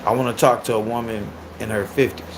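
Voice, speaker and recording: A man in his thirties hosting a casual livestream and reacting to viewer comments. He is loud, animated and crude, and laughs often. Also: lowish voice, fast talker, creaky, noisy background. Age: 30s. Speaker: livestream host.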